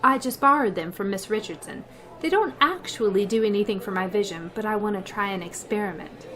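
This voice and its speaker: A woman's voice: mid-range voice, animated, a little fast, fairly bright tone, natural voice. A woman playing a long-suffering schoolteacher in an old-time radio comedy, with dry, sarcastic delivery. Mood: wry, brisk.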